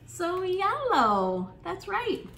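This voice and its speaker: A woman hosting an interactive children's storytime, speaking in an encouraging, sing-song way and pausing with questions for young listeners. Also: very high-pitched, wildly swooping pitch, a bit bright, echoey room, a little hiss or hum. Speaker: children's storytime librarian and host. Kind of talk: interactive children's storytime, reading aloud and leading songs.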